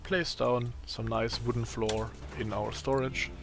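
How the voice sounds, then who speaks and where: A man with a raspy, tired voice giving casual gameplay commentary, thinking aloud as he plays. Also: mid-range voice, level tone, over music. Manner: slow, thinking aloud while playing. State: voice strained from a long day of talking.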